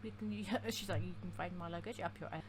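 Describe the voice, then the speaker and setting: A woman's voice: on the low side, fast, a loud crowd. A woman giving casual, slangy, irreverent playthrough commentary and reading game dialogue aloud. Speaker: gaming video creator. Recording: clear noise and game ambience behind.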